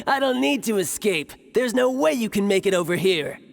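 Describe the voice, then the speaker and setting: Brief: actress as a cocky male game thief, a little high-pitched, mocking and condescending. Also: animated, unhurried, vocal fry, soft background music. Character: smug young male thief, taunting and cocky. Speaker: game character. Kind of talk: video game voice acting.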